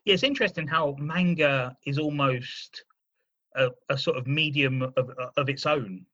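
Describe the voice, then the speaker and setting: A man hosting a comics podcast, asking questions with frequent restarts and soft laughs. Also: a little high, measured pace, highs cut off. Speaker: podcast host. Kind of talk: casual interview.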